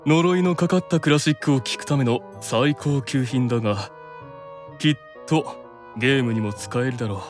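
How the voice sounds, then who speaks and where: A man voicing a stern but caring elder-brother demon in an anime audio drama, measured, authoritative and sometimes exasperated. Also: medium pitch, soft background music, lightly acted. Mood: composed.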